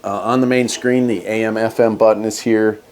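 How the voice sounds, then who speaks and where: A man's voice: fairly low pitch, fairly even intonation, moderate pace, a little mellow. A man hosting a casual hands-on product review, talking in a laid-back, folksy way. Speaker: host of a radio gear review channel.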